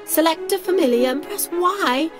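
A woman acts out a cheerful young girl from a fantasy game. Her voice sits extremely high, and she is bubbly and encouraging as she explains things. Prominent music plays behind her. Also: lively intonation, normal speed. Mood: bright, instructive. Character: cheerful, eager young girl who tames familiars. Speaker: character voice in a game playthrough. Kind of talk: acted game tutorial dialogue.

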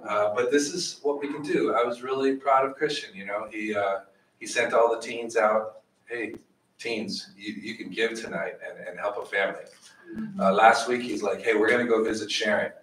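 A middle-aged man teaching a Bible study in a small church room, animated, with questions for the audience. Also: on the low side, normal speed, a little hiss or hum. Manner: animated, gestures widely, asks the audience questions. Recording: echoey room, voice from far off.

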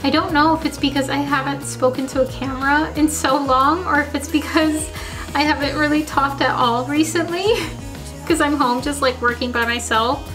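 A young woman chatting casually through a home vlog about her ceramics, full of "like" fillers, a little hoarse as she loses her voice. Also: high-pitched, fairly even intonation, moderate pace, echoey room, over music. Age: young adult. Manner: chatty, many "like" fillers, upbeat. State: losing her voice. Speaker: ceramics small business owner vlogging. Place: home studio office and dining room, indoors. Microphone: camera mounted on window, handheld at times.

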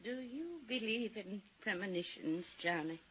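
A woman's voice: mid-range voice, expressive, unhurried, fairly bright tone. An actress playing a weary, wistful aging widow hiding her fear, in the style of 1950s radio drama. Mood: wistful, foreboding. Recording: dull, noisy old radio recording.

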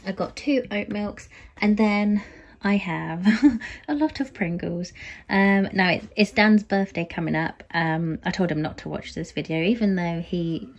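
A woman's voice: fairly low pitch, a little slow, lo-fi sound. A British woman in her thirties chatting casually at home about her weekly grocery shopping, with frequent ums and "so yeah". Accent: British English. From United Kingdom. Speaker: vlogger. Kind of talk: casual grocery haul chat. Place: home kitchen, indoors.

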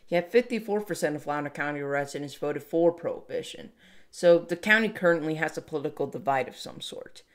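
Mid-pitched narrator setting a scene. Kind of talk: scripted second-person scene-setting narration.

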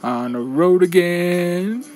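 Woman, amateur fandub, country show singing, lowish pitch, very unhurried, music behind. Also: animated, darkish tone. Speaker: amateur fan dubber performing a bear stage revue.

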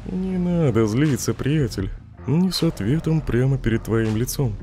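A man voicing a laid-back, smiling skeleton joker in a comic dub, unhurried, wry and teasing. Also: medium pitch, animated, over music, lightly acted. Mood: amused, teasing. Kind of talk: fan comic dub acting.

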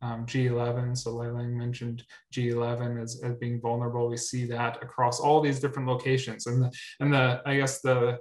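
A man's voice: mid-range voice, completely flat delivery, a little fast. A young man presenting research on a video call, repeating words often with many ums. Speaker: researcher presenting at a grower meetup. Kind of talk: webinar presentation. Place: indoor room. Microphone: webcam on video call. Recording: roomy echo.

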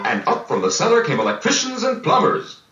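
Male children's-record storyteller, theatrical, higher-pitched, roomy vintage sound. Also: brisk. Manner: animated, theatrical. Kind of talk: dramatic scripted children's storytelling. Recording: dull vintage record, roomy and distant, music behind.

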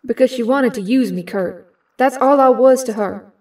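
A woman's voice: a little high, moderate pace, a little mellow, recorded from a distance, natural voice. A woman playing a young, bitter and wounded mutant heroine in an audio drama. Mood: bitter, hurt.

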